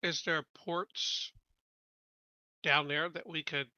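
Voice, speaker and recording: A man with a bright, forward voice talks casually as a player in a tabletop game. The sound is dry and a little muffled. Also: on the high side, steady tone, takes it slowly, dry recording. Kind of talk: casual chat.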